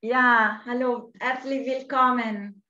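Slow Italian-accented woman hosting online. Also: on the high side, fairly even intonation, pushed and bright. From Italy. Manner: slow, hesitant with many fillers. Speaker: host. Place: home room, indoors. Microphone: video call. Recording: dull lo-fi video call audio.

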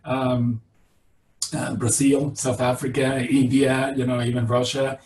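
A man's voice: medium pitch, little pitch movement, a little slow, a little mellow. A middle-aged man with a Venezuelan Spanish accent, a virology professor giving a webinar lecture with many fillers and restarts, heard over a laptop video call. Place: indoor room on video call. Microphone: laptop webcam on video call. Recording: roomy, distant, faint noise.

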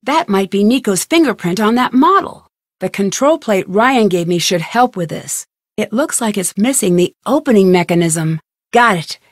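A woman playing a young, curious and determined amateur detective in a video game, thinking aloud in clearly articulated scripted lines. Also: mid-range voice, animated, moderate pace, dry recording, studio quality, lightly acted. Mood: musing, focused.

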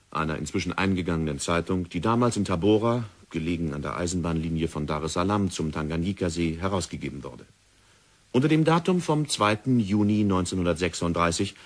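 A man narrating a German radio drama from a script in a measured, formal manner. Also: low voice.

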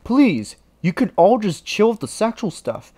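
A woman's voice: medium pitch, big pitch swings, measured pace, over music. A woman voicing a dopey, awkward teenage schoolboy with slangy talk in an anime parody dub. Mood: uneasy.

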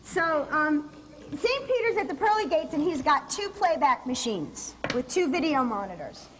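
A woman's voice: high voice, very animated, normal speed, slight background noise, rough recording. A woman co-hosting a comic cooking show, playful and squeamish, telling jokes and questioning the recipe.

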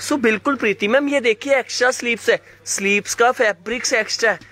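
Man, high voice, sore throat, fast sales patter, phone mic in a shop. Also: pushed and bright, slight background noise. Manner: fast hyped patter, addresses viewers by name. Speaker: live-sale clothing seller. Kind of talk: live sales pitch to viewers. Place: indoor shop floor, clothes laid on mat.

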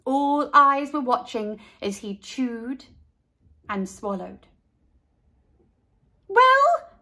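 A woman reads a children's story aloud in a bright, fairly high voice. She takes her time, shaping each phrase expressively. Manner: deliberate, expressive. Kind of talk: scripted children's storybook narration.

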